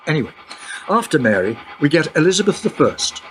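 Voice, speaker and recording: A man's voice: a little high, sing-song, a little fast, low-quality recording. A man playing a wry, knowing guide who explains history in a radio play. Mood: explaining. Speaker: time-travelling guide.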